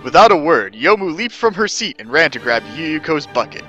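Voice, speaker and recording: A man's voice: fairly high pitch, very animated, normal speed, sharp tone, highs cut off, soft background music. A man giving a mock-serious dramatic reading of comic fan fiction as its narrator.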